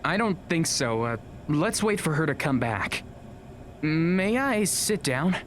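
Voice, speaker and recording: A woman playing an easygoing, modest high school student in an audio drama, casual and slightly hesitant, with music behind. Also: very animated, takes it slowly, slight background noise, exaggerated voice. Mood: relaxed.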